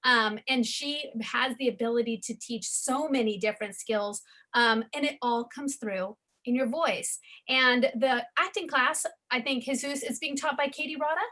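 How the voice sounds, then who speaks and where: A woman's voice: high voice, normal speed, bright, forceful tone. A woman hosting an interview about a college media program, speaking in an enthusiastic, promotional way. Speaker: program host.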